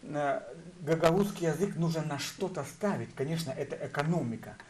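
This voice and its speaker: Male guest, halting and emphatic. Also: on the high side, noisy background. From Gagauzia, Moldova. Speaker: poet, TV programme guest. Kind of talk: talk show discussion and verse recitation.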